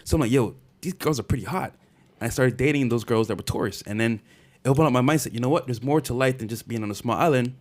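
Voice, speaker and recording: A man's voice: medium pitch, quick pace, darkish tone. Easygoing man from Barbados. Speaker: podcast co-host, guest. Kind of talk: casual interview talk.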